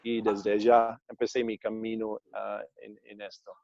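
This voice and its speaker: A man in his thirties from Australia, speaking Spanish as a second language with an accent between Australian and American, answering interview questions haltingly with many fillers. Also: fairly low pitch, monotone, creaky, darkish tone, no room sound, lo-fi sound. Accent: Australian-American English speaker of Spanish. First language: English. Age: 30s. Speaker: guest, entrepreneur.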